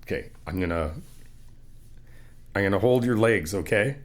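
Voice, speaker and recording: Brief: young adult man, lowish voice, relaxed teasing friend, soft reassuring ASMR delivery. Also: moderate pace. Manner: easygoing, teasing, reassuring, laughs often. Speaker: ASMR roleplay creator playing a supportive friend. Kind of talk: ASMR roleplay.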